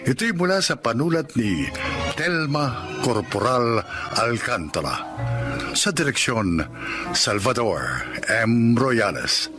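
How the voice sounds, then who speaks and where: A man narrates in classic dramatic radio style. His delivery is formal and deliberate, with very varied intonation. Clear music plays behind his voice. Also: mid-range voice, sing-song. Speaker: announcer and narrator.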